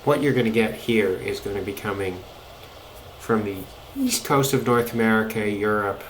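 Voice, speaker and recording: A man giving a casual, unscripted demonstration of a radio as a hobbyist, halting as he thinks aloud. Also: fairly low pitch, slight background noise, low-quality recording. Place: indoors at a table. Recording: shortwave radio music playing behind. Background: shortwave radio playing music and broadcasts.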